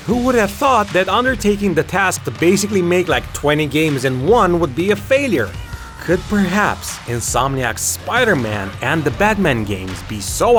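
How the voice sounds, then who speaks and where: Animated man narrating over music. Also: on the high side. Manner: sarcastic, emphatic. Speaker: video game commentary host. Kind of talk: scripted opinionated video essay narration. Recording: music behind the voice. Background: background music.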